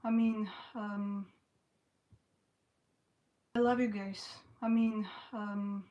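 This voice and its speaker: A young woman talks casually to her livestream viewers. She speaks haltingly, starting sentences over and leaning on "you know". Her pitch sits in an ordinary middle range. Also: flat delivery, highs cut off. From Slovakia. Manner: halting, restarts often, frequent "you know". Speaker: livestream host.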